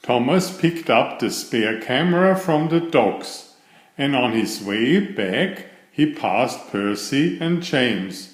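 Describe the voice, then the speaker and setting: A male narrator reads a children's story expressively. His pitch moves up and down a lot while his pace stays slow and deliberate. The recording has a noticeable room echo. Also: lively intonation. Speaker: children's book reader. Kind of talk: storybook read-aloud narration. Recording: roomy, echoing voice recording.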